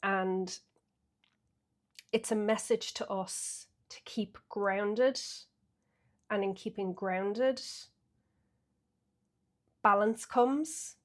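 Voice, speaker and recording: A woman's voice: medium pitch, steady tone, drawn-out words, long pauses. An Irish woman getting over a cold and a bit sniffly, chatting casually through a weekly tarot reading with many fillers. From Ireland. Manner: chatty, thinks aloud with fillers. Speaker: tarot reader.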